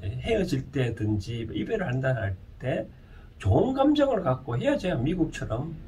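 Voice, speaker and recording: Animated higher-pitched man with Gyeongsang Korean accent. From Gyeongsang region, South Korea. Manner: halting, often asks listeners rhetorical questions. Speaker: lecturer and counsellor. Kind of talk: informal explanatory lecture. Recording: distant mic with faint background noise.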